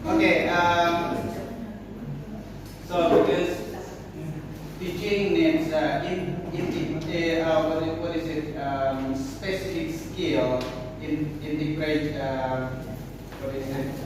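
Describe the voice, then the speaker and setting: Man, student teacher presenting, hesitant with fillers, slightly high voice, far from mic in a reverberant classroom. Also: flat delivery, slow. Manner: hesitant, many fillers and restarts while searching for words. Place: large classroom, indoors. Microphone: far camera at back of room. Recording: echoey classroom from far off, noisy.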